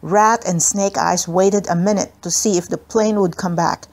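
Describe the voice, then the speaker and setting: A woman's voice: fairly low pitch, fairly even intonation, moderate pace, darkish tone. A woman narrating a children's adventure book in a scripted read-aloud style. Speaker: audiobook narrator.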